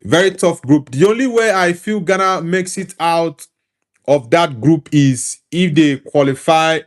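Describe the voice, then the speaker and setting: A man's voice: fairly high pitch, a little slow. A man giving casual football commentary as a show host, hesitant and prone to restarting his sentences. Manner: hesitant, restarts often, says "like".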